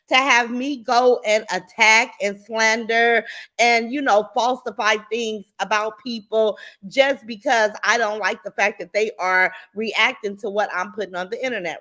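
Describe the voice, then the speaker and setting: A woman hosting a casual livestream reaction, greeting viewers one by one and calling them baby and sis, her voice stuffy and congested from a cold. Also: fairly high pitch. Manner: warm sing-song greetings, calls viewers baby and sis.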